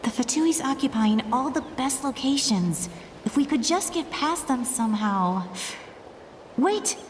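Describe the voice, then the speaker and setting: A spirited young sneaking heroine. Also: female voice, high-pitched, animated, measured pace, a little hiss or hum. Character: spirited young woman sneaking past guards.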